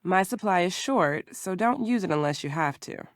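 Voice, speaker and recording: A woman playing a careful herbal shopkeeper in a video game, instructive and gentle. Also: fairly low pitch, animated, normal speed, no echo, clean studio sound. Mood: encouraging, explanatory. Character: calm female herbal shopkeeper, patient, careful mentor.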